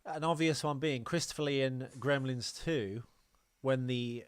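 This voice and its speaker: A man talks casually about films as a podcast co-host. His voice sits at a medium pitch and is recorded dry, with little room sound. He trades trivia in a wry, joking manner. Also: a little slow, clean tone, no room sound. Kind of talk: casual film discussion and trivia.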